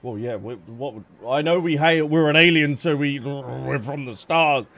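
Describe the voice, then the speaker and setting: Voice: mid-range voice, a little slow, fairly bright tone. A game streamer giving casual, playful reaction commentary with a jokey, chatty manner on a close microphone. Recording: faint game music behind.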